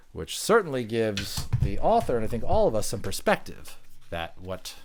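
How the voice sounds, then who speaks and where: A man reading an audiobook as a dramatic, expressive storyteller. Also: fairly low pitch. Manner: theatrical, expressive. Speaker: audiobook narrator.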